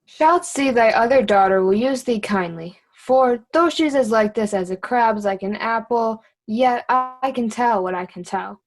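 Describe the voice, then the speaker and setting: Woman as a cheeky high-voiced court fool. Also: a little slow, hardly any pauses. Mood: teasing riddles. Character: cheeky, riddling court fool, a boy jester. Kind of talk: Shakespearean reader's theatre acting.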